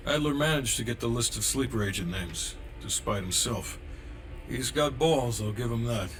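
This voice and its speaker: A man's voice: mid-range voice, lively intonation, moderate pace, a bit bright, slight background noise, lightly acted. A man acting a hard-nosed, sardonic intelligence handler in a cinematic spy game, curt in delivery. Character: blunt, cynical, hard-nosed male CIA handler.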